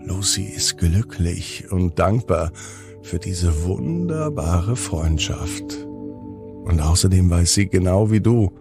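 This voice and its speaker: A middle-aged man telling children a bedtime story as a podcast host, with expressive, drawn-out emphasis. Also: low-pitched, gravelly, over loud music.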